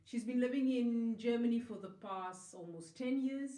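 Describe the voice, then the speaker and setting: A woman's voice: on the high side, normal speed, mellow. Upbeat woman host in an echoey room. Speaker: channel host. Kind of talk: interview hosting.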